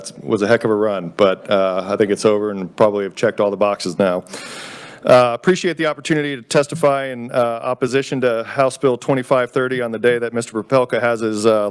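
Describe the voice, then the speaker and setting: A man's voice: medium pitch, smooth. A man giving spoken testimony to a legislative committee as a lobbyist. He speaks casually and halts often on fillers. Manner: casual, halting, many fillers. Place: state legislature committee room.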